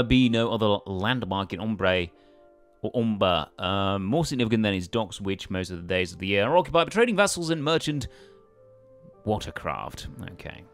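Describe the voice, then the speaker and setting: A man's voice: medium pitch, no echo. A man hosting a let's play with casual, hesitant commentary, thinking aloud as he goes.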